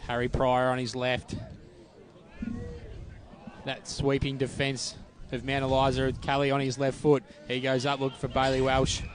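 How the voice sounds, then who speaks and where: A man's voice: medium pitch, monotone, normal speed. A man calling a football match with chatty, matey banter. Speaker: football commentator. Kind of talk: live sports commentary. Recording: outdoor commentary with crowd.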